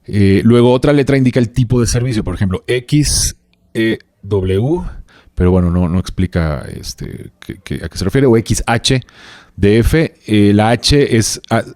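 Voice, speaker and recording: Mexican man, low pitch, dark relaxed tone, halting informal delivery, podcast co-host. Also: dry recording, clean studio sound. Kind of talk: casual chat, loosely reading out researched facts.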